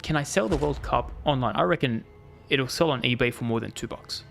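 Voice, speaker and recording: Gravelly man rambling over gameplay. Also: mid-range voice, fairly quick, faint music behind. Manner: rambling, jokey asides. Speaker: gaming streamer. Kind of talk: casual gameplay commentary.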